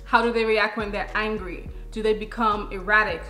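A woman's voice: medium pitch, fairly even intonation, moderate pace, sharp tone. A young woman co-hosting a vlog, giving casual relationship advice to camera in an emphatic, explanatory way. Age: young adult. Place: living room, on a sofa. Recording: echoey room. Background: music.

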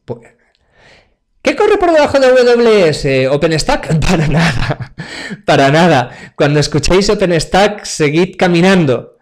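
A man in his forties from Spain runs a live online tech demo over his webcam. He jokes and chats straight to the audience, and his voice sits a little high for a man. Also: sing-song, a little slow. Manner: chatty and joking, speaks straight to the audience. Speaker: cloud computing speaker. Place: home office, indoors. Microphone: webcam video call.

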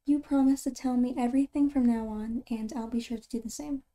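Woman playing a very soft, mellow caring girlfriend. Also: on the high side, steady tone, natural voice. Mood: tender reassurance. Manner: soft-spoken, often breathy and near whisper, halting and tender. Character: caring, gentle, reassuring girlfriend. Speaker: ASMR roleplay performer. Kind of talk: ASMR girlfriend comfort roleplay.

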